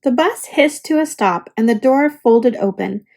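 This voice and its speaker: A woman reading a children's picture book aloud as a storyteller, with deliberate, expressive narration for young listeners. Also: fairly high pitch.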